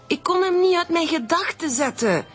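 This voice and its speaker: A woman playing a cornered, unhappily married woman with a husky voice in a radio drama. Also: very high-pitched, expressive, moderate pace, faint music behind. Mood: confessional, wistful. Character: cornered married woman confessing a secret love affair. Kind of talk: naturalistic radio drama acting.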